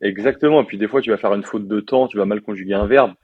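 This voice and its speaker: Young french dev guy, low voice, dry dull sound, chatty and laid back. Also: fast, breathy edge, no echo. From France. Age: 20s. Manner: relaxed, chatty, lots of fillers and slang. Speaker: software developer guest. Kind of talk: casual interview chat.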